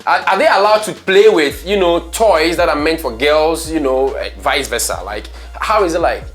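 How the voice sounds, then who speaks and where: A man's voice: a little high, moderate pace, a bit bright, soft background music. A man with a Ghanaian accent hosting a talk show in an informal, chatty, questioning way, slipping into Pidgin phrases.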